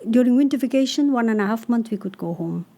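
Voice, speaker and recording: A middle-aged woman from Nepal, a cross-cultural coach answering interview questions thoughtfully, pausing often with "uh". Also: a little high, expressive, mellow.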